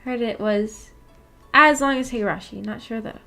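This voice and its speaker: A woman's voice: fairly high pitch, moderate pace, gravelly, bright, forceful tone, soft background music. A woman hosting a book club livestream, chatting casually and reading out viewer comments in a giggly, rambling, self-deprecating way. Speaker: livestream host of a visual novel book club.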